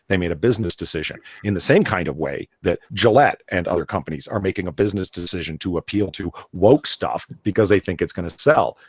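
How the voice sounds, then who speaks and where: Animated low-voiced male podcast host. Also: slightly rough, dry recording. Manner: opinionated, animated, often restarts. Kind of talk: casual live panel chat.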